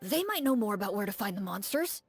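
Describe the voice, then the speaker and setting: A woman's voice: medium pitch, big pitch swings, quick pace, slightly rough, soft background music. A woman playing an anxious, pleading townsman in a tabletop game, with earnest, theatrical, old-fashioned phrasing.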